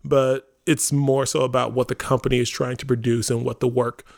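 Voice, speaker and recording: Man, American accent, mid-range pitch, casual podcast talk, informal, rambling, self-deprecating. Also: little pitch movement, moderate pace, creaky. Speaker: artistic director and playwright on a theatre company podcast.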